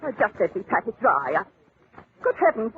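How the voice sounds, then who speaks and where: An actress playing a bossy, fluttery society hostess in a theatrical 1940s radio style. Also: female voice, medium pitch, big pitch swings, moderate pace, breathy edge. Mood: flustered, alarmed. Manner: gushing, imperious, theatrical. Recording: tinny, noisy old radio recording.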